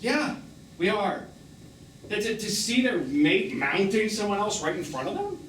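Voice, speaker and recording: A man's voice: high voice, big pitch swings, unhurried, fairly bright tone, full character voice. Echoey, distant actor as wounded middle-aged man. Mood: indignant. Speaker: stage actor. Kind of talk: live stage drama acting. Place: small theatre, recorded from a distance. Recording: echoey, noisy stage performance recorded from afar.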